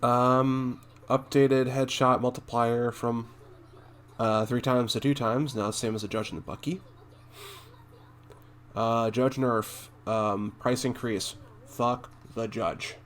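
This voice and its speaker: A man with a mid-pitched voice gives casual gaming commentary, reading notes aloud and adding his own take. His delivery is halting and unpolished, blunt in places. There is faint background noise on the recording. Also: steady tone. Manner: halting, hesitant, thinking aloud. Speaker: gaming content creator and streamer.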